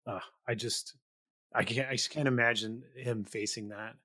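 A man's voice: mid-range voice, steady tone, moderate pace, bright, forceful tone, no room sound, studio quality. A man hosting an interview podcast, reflective and searching for his words as he asks questions. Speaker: podcast host.

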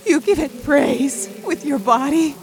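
Ominous archaic oracle woman acting, high and slow, solemn incantation for audio horror. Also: animated, slight background noise. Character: ominous prophetic voice of dread, archaic and commanding. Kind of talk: audio horror drama monologue.

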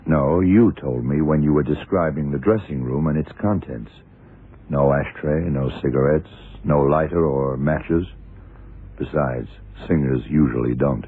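A shrewd police detective in a vintage radio thriller. His voice is very deep and a little rough, and he probes deliberately, step by step. The sound is tinny and narrow, like an old broadcast. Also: exaggerated voice. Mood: probing, methodical. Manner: deliberate, probing, clipped questioning. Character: shrewd, methodical male police detective in charge. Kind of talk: 1960s-70s radio drama acting.

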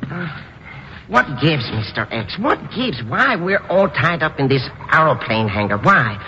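An actor playing a nervous, whining, cowardly comic sidekick in a 1950s radio drama, stammering and grumbling. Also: male voice, on the high side, big pitch swings, unhurried, bright, forceful tone, slight background noise, full character voice. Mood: puzzled. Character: jittery, whining, comic small-time sidekick, a cowardly man. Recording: dull vintage radio recording with music.

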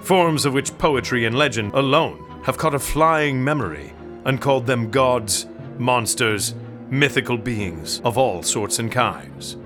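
A grave, theatrical radio announcer from the old days, played by a man. He declaims formally in a mid-pitched voice with big swings of intonation, with music behind him. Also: lively intonation, unhurried, lightly acted. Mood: grave, portentous.

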